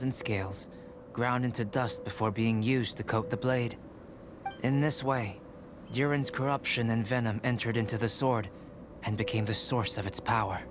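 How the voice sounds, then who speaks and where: An actor voicing a calm, scholarly young male alchemist in a video game, speaking in a measured, formal, analytical way. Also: mid-range voice, lightly acted. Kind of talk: video game dialogue acting.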